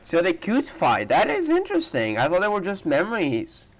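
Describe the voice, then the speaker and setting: A man's voice: high-pitched, huge pitch swings, normal speed. Man musing through game commentary. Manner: musing aloud, questioning. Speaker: gaming streamer. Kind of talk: casual playthrough reaction commentary.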